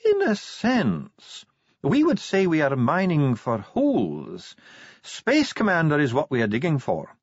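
A man's voice: on the high side, wildly swooping pitch, measured pace, slightly rough, dry recording, muffled recording, pitched above the performer's own voice, heavily acted. A man performs a haughty male dwarf official for an audiobook. The voice sits well above a normal male register and swings widely. He is pedantic and condescending, needling with icy precision. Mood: smug calm. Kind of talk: audiobook character acting.

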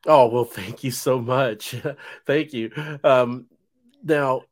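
A man's voice: mid-range voice, steady tone, slow. A man in his fifties hosting an interview podcast, talking in a rambling way with many fillers and restarts. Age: 50s. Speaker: podcast host. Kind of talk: casual interview chat.

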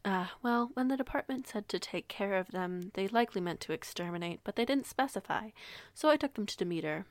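Woman acting a composed, creaky-voiced werewolf alpha. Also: medium pitch, animated, dry recording, natural voice. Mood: modest. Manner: measured, composed, slightly formal. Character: composed, generous female werewolf pack alpha. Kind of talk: audio roleplay acting, measured dialogue.

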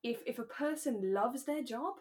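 A woman co-hosting a podcast in relaxed, casual conversation, thinking aloud as she talks. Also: a little high, moderate pace. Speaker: podcast co-host; actor. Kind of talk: relaxed casual chat about a radio drama.

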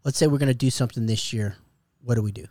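Mid-pitched man, casual podcast questioner. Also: very fast, darkish tone, no echo, clean studio sound. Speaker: sports podcast co-host. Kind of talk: casual chat, asking questions.

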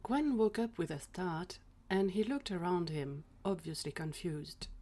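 A woman's voice: on the low side, unhurried, mellow. A woman narrating a scripted story in an audio drama.

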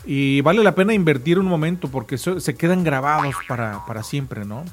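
A man's voice: fairly high pitch, expressive, a little fast. A man with a Mexican accent chatting casually and colloquially as a talk show host. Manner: colloquial, slangy. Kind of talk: casual radio chat.

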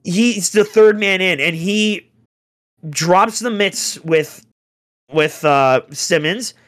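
A young man on a casual sports chat, ranting in an exasperated way with rhetorical questions, his voice going hoarse. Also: high-pitched, takes it slowly, a bit bright. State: getting hoarse. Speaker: podcast co-host, hockey fan.

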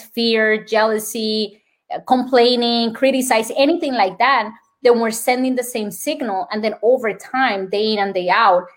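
A woman with a Colombian accent and a fairly high voice, speaking as a guest on an interview podcast. She explains health ideas earnestly and lays out her points one by one. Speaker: nurse and wellness speaker.

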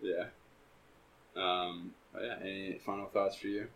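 A young man on a casual podcast, talking in a relaxed, meandering way with a touch of self-deprecation. His voice sits a little low and easy. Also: a little slow, slight background noise, poor audio. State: recovering from being sick. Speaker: podcast co-host. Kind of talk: casual chat.

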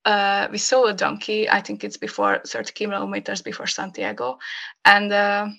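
A young Hungarian woman speaking English as a guest, talking casually with many pauses and fillers. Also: mid-range voice, vocal fry, fairly bright tone, dull audio. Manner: hesitant, many fillers, laughs often. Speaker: podcast guest. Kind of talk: casual interview conversation.

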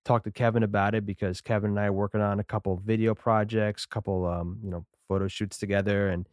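A man's voice: on the low side, flat delivery, quick pace, no room sound, studio quality. A man in his thirties co-hosting a casual podcast, talking in a laid-back, rambling way with lots of fillers. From Connecticut, USA. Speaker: podcast co-host. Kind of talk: casual chat.

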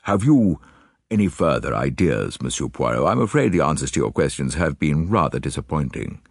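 A man voicing a dignified British lord and statesman in an audiobook, puzzled and earnest, with slow, halting speech. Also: very deep voice, big pitch swings, vocal fry, dry recording, studio quality, exaggerated voice. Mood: inquiring.

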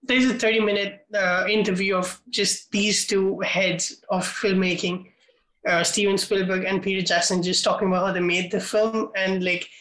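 A man's voice: sits high, level tone, moderate pace, fairly bright tone, echoey room, low-quality recording. A man co-hosting a film podcast, rambling through casual chat with lots of filler words. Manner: rambling, many "like" fillers, laughs often. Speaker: podcast co-host.

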